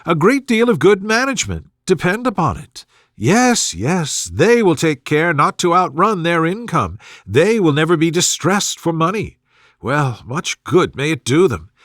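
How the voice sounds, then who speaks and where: A resentful, gossipy middle-aged mother from a classic storybook, played by a man. The voice is pitched high and swings about in exclamations and complaints. It is recorded close and dry. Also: sing-song, unhurried, a bit bright, dry recording. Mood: resentful, sniping.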